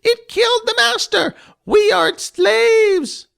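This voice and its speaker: A man voicing an anxious male goblin leader who talks about himself in the third person, shrill and insistent. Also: animated, slow, creaky, fairly bright tone, full character voice. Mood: shrill alarmed.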